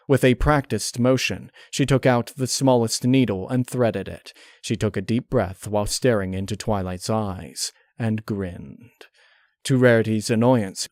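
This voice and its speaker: Low-voiced man narrating, very dry sound. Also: darkish tone, dry recording. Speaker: narrator, story reader. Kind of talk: scripted horror fiction narration.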